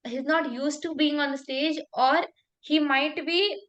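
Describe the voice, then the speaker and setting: The high-voiced, emphatic Indian woman you would hear running a one-to-one public speaking session, repeating each tip and asking if that's okay. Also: fairly even intonation, a little slow, fairly bright tone, lo-fi sound. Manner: emphatic, repetitive, checks in with "okay?". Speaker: public speaking coach and talk show host. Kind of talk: informal coaching conversation with anecdotes.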